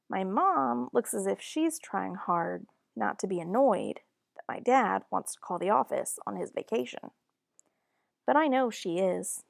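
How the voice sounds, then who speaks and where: A woman reading a children's picture book aloud in a deliberate, expressive storytelling manner. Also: mid-range voice, gravelly, no room sound, clean studio sound. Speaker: storybook reader.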